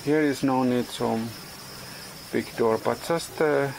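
Slow, halting man with a gravelly voice. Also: medium pitch, darkish tone, slight background noise, rough recording. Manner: halting, searching for words, non-native English. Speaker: farm vlogger. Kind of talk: casual vlog narration. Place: outdoors on a tropical farm. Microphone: phone camera at arm's length. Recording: outdoor ambience with insects and animals.

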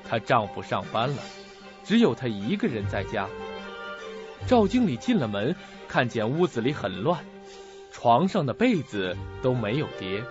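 A man's voice: mid-range voice, big pitch swings, moderate pace. A man giving scripted, dramatic narration for a suspense radio drama. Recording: dull audio with music behind.

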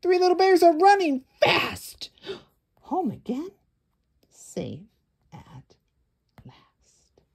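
A woman's voice: very high-pitched, huge pitch swings, drawn-out words, long pauses. A woman hosting a children's story time, reading aloud in a dramatic, suspenseful way with whispers and gasps.

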